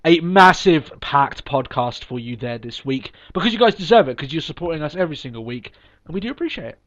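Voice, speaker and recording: A man's voice: a little high, lively intonation, fairly quick, fairly bright tone. Sniffly man hosting a podcast. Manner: upbeat, rambling, with frequent ums and restarts. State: sniffly from a cold. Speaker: podcast host. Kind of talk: casual podcast chat. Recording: dull lo-fi podcast recording.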